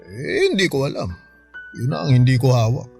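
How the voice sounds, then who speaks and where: A man's voice: mid-range voice, big pitch swings, brisk, creaky, darkish tone, faint music behind. A man playing a tipsy, lovelorn middle-aged uncle with a Filipino accent, reflective and emphatic, in a radio drama. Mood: lovelorn, resigned. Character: tipsy, lovelorn uncle abandoned by his partner. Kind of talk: Tagalog radio drama acting.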